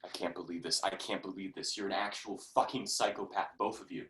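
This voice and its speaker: A man's voice: low-pitched, steady tone, a little fast, fairly bright tone. An actor playing an emotional, bitter and sarcastic man in a staged play reading. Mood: stunned disbelief. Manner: emotional, sarcastic, stammers when worked up. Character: volatile, bitter man caught in a love affair.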